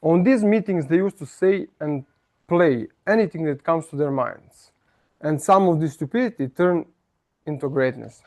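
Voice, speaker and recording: Self-mocking Serbian man, playful and halting. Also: a little high, a little mellow. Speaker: club speaker. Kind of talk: humorous prepared speech. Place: meeting room.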